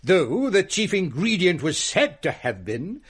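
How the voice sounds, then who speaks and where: An actor playing a theatrical older uncle who tells ghost stories with mock-grave, florid relish in a comic radio drama. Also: male voice, high-pitched, sing-song, measured pace, over loud music.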